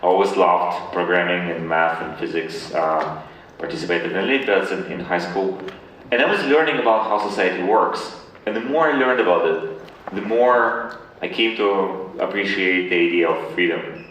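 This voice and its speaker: Male interview guest from Ukraine, low voice, halting conversational delivery, recorded in a very reverberant room. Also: fairly bright tone. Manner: hesitant, halting, repeating words. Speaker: interview guest, tech founder. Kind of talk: conversational Q&A answers. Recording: very echoey room, distant mic, faint noise.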